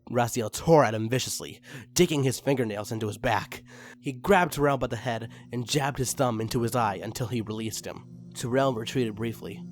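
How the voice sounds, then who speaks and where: A man reading fan fiction aloud as a dramatic story narrator. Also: medium pitch.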